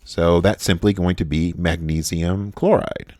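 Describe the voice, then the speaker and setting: Deep-voiced man teaching chemistry. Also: steady tone, a little mellow, no echo, clean studio sound. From United States. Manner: halting, frequent "uh" fillers and restarts. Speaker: chemistry instructor. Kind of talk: recorded lecture.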